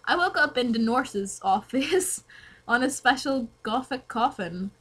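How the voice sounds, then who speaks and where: A woman's voice: on the high side, animated, unhurried, a bit bright, slightly rough. Giggly young woman reading aloud. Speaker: co-reader of fan fiction. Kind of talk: casual, comic reading aloud.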